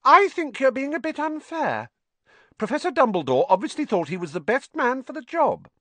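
A man's voice: very high voice, sing-song, moderate pace, fairly bright tone, no room sound, pitched above the performer's own voice, exaggerated voice. A man voicing an earnest, anxious and slightly bossy young schoolgirl. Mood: defensive.

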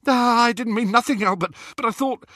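A man's voice: very high-pitched, brisk, pushed and bright, no echo, clean studio sound, voice pushed up. A man playing a jittery balding wizard official. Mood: cowering. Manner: nervous, stammering, defensive. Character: nervous balding male ministry wizard.

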